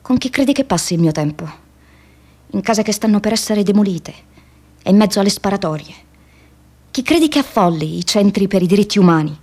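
An actress playing an earnest young American activist woman, reading her letter home as an impassioned radio theatre monologue with measured phrasing. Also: medium pitch, lively intonation, darkish tone. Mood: impassioned, indignant.

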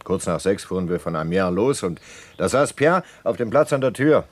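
An actor in a German radio play playing a talkative bus conductor who is pedantic about punctuality. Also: male voice, low voice, animated, moderate pace, dry recording. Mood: eager, self-assured. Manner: precise, eager, talkative.

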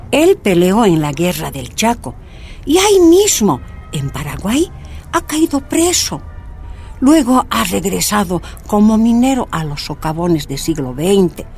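A woman's voice: mid-range voice, a little mellow. A woman playing a proud, plain-spoken older Bolivian miners' activist in a radio drama, folksy and emphatic, with a Bolivian accent. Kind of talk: radio drama acting, telling memories.

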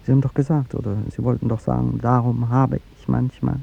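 An older man acting in a 1950s German radio drama as a gentle, aging president in love, speaking haltingly and courteously. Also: mid-range voice, flat delivery, creaky, breathy edge. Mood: gently probing. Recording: muffled 1950s radio recording, faint noise.

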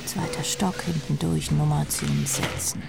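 An actress playing a jaded, sardonic rock drummer woman who confides her thoughts in a breathy murmur in a radio drama. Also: deep voice, steady tone, unhurried, exaggerated voice. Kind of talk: radio drama inner monologue. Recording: music behind the voice.

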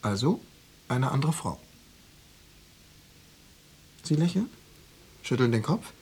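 A secret police interrogator in a radio play, with a slightly husky, medium-pitched voice. He probes and coaxes in cool, formal sentences, always with a veiled threat underneath. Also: sing-song, takes it slowly, darkish tone, heavily acted. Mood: probing. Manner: probing, coaxing, coolly formal with veiled menace. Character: insinuating male Stasi officer pressuring an informant. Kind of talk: German radio drama dialogue.

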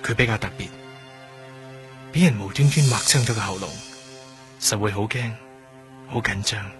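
Young trainee doctor , male actor: Hong Kong Cantonese radio drama, low pitch, defensive and guarded, clear music bed behind. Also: normal speed, fairly bright tone, close to an everyday voice. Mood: defensive, explaining. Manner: guarded, terse answers, defensive explanations.

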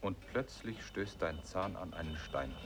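A man playing a grave chorus narrator in a radio drama, speaking terse, measured lines of poetry. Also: deep voice, expressive. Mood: grave, observing. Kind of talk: poetic radio drama recitation.

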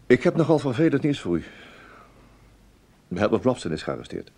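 An actor playing a tactful, dryly ironic intelligence officer in a Dutch radio play, speaking politely and a little hesitantly. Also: male voice, medium pitch, very animated, moderate pace, a little mellow, slightly rough. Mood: tactful, reluctant. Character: wry, tactful male intelligence officer breaking bad news. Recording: noisy old radio drama recording.

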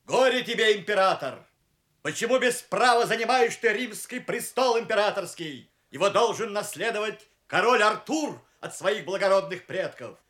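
A bold herald knight of legend. He proclaims in a high, declamatory voice, formal and stern, as in an audio drama. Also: animated, moderate pace, a bit bright. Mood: stern challenge. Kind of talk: Soviet audio drama acting.

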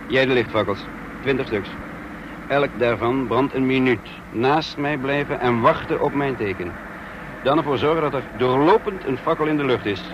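A man's voice: mid-range voice, normal speed, close to an everyday voice. Commanding male officer, played by an actor for radio drama; clipped, authoritative delivery, dull slightly noisy sound. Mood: businesslike. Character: brisk commanding male military officer leading a raid.

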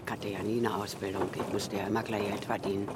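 A woman's voice: rumbling low, level tone, quick pace. Radio drama: elderly widow, fond, rambling, down-to-earth; audible noisy ambience behind her. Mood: nostalgic, wistful. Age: older. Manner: rambling, reminiscing, with colloquial tag questions. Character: chatty, fond old Berlin widow, former flower seller. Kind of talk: naturalistic radio drama dialogue.

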